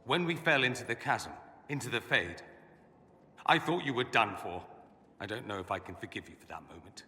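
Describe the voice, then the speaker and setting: An actor playing a witty, flamboyant and sardonic mage in a fantasy game, with an arch, teasing delivery. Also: male voice, fairly low pitch, expressive, moderate pace, bright, forceful tone, big-room sound. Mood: hurt, tender. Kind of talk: scripted fantasy game dialogue.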